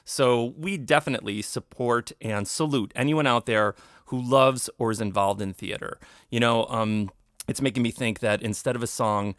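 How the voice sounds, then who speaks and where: A man's voice: mid-range voice, normal speed, a bit bright, no echo, studio quality. A man speaking casually as a podcast host.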